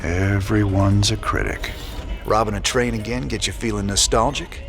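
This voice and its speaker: Man playing a low-voiced, wry rebel leader. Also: fairly even intonation, normal speed, music in the background, close to an everyday voice. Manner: dry, folksy, laconic. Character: rugged middle-aged rebel leader, wry and world-weary. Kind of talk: video game cutscene acting.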